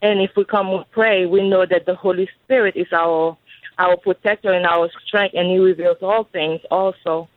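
A woman's voice: on the low side, steady tone, normal speed. A woman from Haiti calling in to a radio show, speaking earnestly with some hesitant fillers, heard over a phone line. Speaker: caller. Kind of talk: call-in radio comment.

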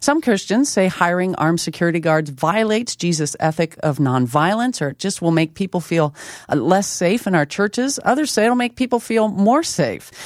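A woman hosting a talk radio show, with clear, steady presenter delivery in a studio. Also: lowish voice, dry recording. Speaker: radio host. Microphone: studio microphone.

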